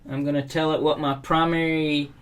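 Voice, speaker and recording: A middle-aged man from South Carolina walking through a software setup at his desk, relaxed and unhurried, with frequent ums and uhs. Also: on the high side, clean tone. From South Carolina, USA. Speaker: WordPress tutorial host. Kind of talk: casual screen-recorded software tutorial. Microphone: webcam overlay at desk.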